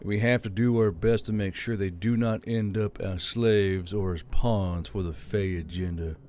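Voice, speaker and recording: A man's voice: on the low side, steady tone, unhurried, a little mellow, slight background noise, natural voice. A man playing a weary, stern militia leader burdened by guilt in an audiobook drama. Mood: regretful, resolute.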